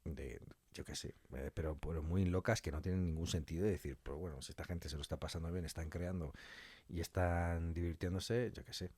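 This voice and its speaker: A man's voice: low voice, fairly even intonation, brisk, a little mellow, breathy edge, no room sound. A man with a Spanish accent from Spain chatting casually and colloquially as a podcast guest, often repeating phrases for emphasis. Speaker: interview guest, audio fiction scriptwriter.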